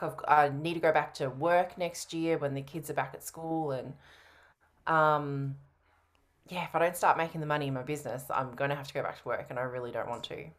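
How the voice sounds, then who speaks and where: A woman in her thirties on a video call, answering a coach's questions in a casual, hesitant way. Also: deep voice. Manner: hesitant, informal. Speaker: coaching client in a roleplay. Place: indoor room at home, on a video call.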